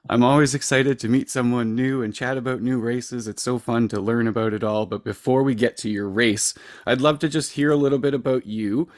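A man's voice: medium pitch, steady tone, brisk, few pauses, dry recording, clean studio sound. A man hosting a podcast, reading his intro and interviewing a guest in an upbeat, appreciative way. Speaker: podcast host.

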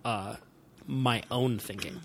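A man hosting a podcast, musing aloud and gently teasing his guest in a relaxed, conversational way. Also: medium pitch, slow pace, slightly rough. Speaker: podcast host. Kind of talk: casual conversational interview.

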